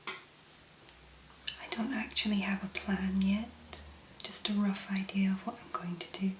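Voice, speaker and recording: A woman speaking in a hushed, gentle whisper with long pauses, as an ASMR host. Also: mid-range voice, steady tone. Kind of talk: soft-spoken whispered ASMR. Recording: roomy echo, clear background noise.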